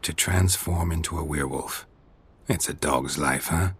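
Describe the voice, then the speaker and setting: Very deep, terse monster hunter. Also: male voice, normal speed, slight background noise. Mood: dry, wry. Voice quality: gravelly, low. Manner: terse, deadpan. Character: gruff middle-aged monster hunter, dry-witted, stoic. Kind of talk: video game voice acting.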